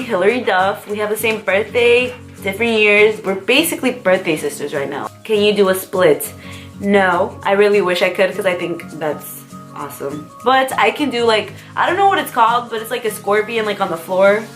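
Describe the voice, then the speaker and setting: A young woman from the US talks through a casual vlog, rambling and giggling between questions. Her voice sits at an everyday middle pitch, with light music faintly playing underneath. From United States. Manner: chatty, rambling, with fillers and giggles. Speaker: vlogger. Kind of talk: casual tag video chat.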